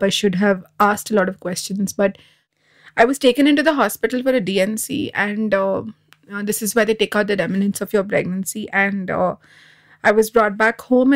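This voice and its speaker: A woman in her thirties speaks as a podcast guest at a medium pitch. She tells her personal story in a candid and reflective manner, as in an interview. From Dubai. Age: 30s.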